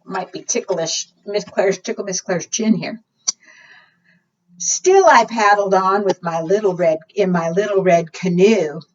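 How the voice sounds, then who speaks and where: Children's librarian, female, expressive read-aloud with questions to little listeners; unhurried, recorded at a distance with dull sound. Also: medium pitch. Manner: asks the young listeners questions.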